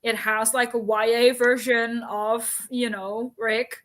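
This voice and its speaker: A woman with a somewhat high-pitched voice talks casually, as if co-hosting a discussion. She explains things in a talkative, informal way, dropping in asides as she goes. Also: level tone, slow pace, fairly bright tone. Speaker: book discussion co-host. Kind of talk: casual chat about books and history.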